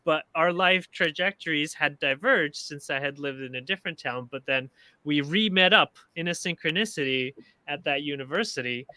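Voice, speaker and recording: A man from Canada hosting a discussion group, telling casual personal anecdotes in halting, hesitant phrases. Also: on the high side, sharp tone, no room sound.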